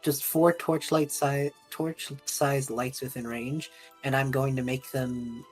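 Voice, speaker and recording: A husky woman chatting casually as a player in a tabletop role-playing game. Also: extremely low pitch, little pitch movement, slow, faint music behind.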